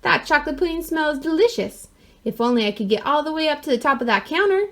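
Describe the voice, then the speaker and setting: A woman's voice: sits high, animated, quick pace, a bit bright, voice pushed up. A woman voicing a headstrong, greedy little girl in a storybook, exclamatory and self-absorbed. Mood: greedy longing.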